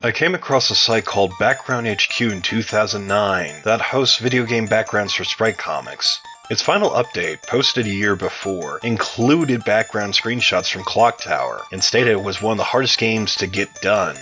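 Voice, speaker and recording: A man's voice: fairly low pitch, expressive, normal speed, hardly any pauses, bright, forceful tone, soft background music. A man giving prepared answers as a podcast interview guest, sounding like he reads them out. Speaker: interview guest, game mod developer.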